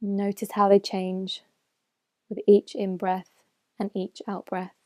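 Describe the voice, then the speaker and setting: A woman leading a guided meditation as a mindfulness teacher, speaking in a gentle, measured way with phrases that soften at the end. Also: mid-range voice, monotone, no echo. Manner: gentle, measured, unhurried instruction with soft phrase endings. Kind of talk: slow guided meditation narration.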